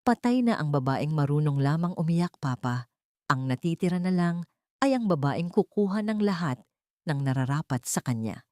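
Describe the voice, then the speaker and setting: A woman's voice: low-pitched, animated, moderate pace, darkish tone, no echo, studio quality. A woman with a Filipino accent voicing a cold, determined wronged woman bent on revenge in an audio drama story. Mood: cold, vengeful. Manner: cold, resolute declarations.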